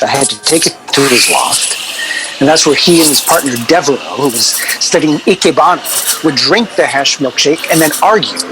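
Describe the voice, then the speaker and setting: An actor playing a wry, exasperated record producer telling rambling anecdotes in a radio drama. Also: male voice, fairly high pitch, close to an everyday voice, poor audio. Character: wry, exasperated male music producer reminiscing about eccentric artists. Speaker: record label producer being interviewed. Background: music.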